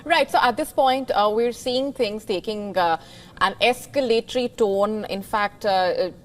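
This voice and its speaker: A woman anchoring live news, brisk, slipping into fillers when she ad-libs her questions. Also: mid-range voice.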